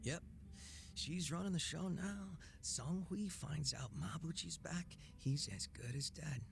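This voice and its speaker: An actor playing a laid-back, sly gangster boss with a soft heart in a video game, speaking in a casual, teasing drawl. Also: male voice, a little high, unhurried, creaky. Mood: casual, wry. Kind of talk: video game cutscene acting.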